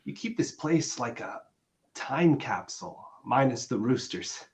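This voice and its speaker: A man's voice: mid-range voice, lively intonation, a little slow. A man acting an earnest, awkward, conventional boyfriend with a hesitant delivery, in a dramatic script reading. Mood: hurt, restrained. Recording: dull, lo-fi sound.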